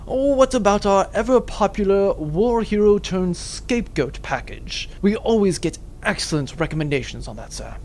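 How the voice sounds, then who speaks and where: A man's voice: sits high, animated, normal speed, few pauses, a little mellow, a little hiss or hum. A man playing a polite, deferential prison clerk with formal concierge patter in a comic audio drama. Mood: obsequious. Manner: obsequious, formal service patter.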